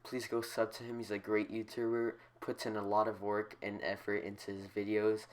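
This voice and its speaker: A man's voice: fairly low pitch, completely flat delivery, normal speed. A congested man hosting a casual soccer talk show, explaining tactics and giving opinions at length. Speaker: host.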